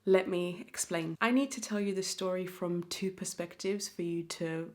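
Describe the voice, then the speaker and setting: A young woman talks to camera in a personal vlog. Her voice sits a touch low, and her delivery is earnest and reflective. She often slows down and picks her words with care. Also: fairly even intonation. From born in Zimbabwe, raised in South Africa. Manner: reflective, earnest, slow and measured. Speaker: vlogger. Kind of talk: personal confessional talk to camera.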